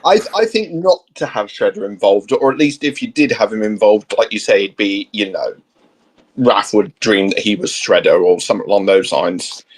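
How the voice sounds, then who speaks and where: A man's voice: fairly low pitch, animated, moderate pace, husky. A man chatting casually as a co-host in a geeky discussion. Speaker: co-host of a comics discussion show.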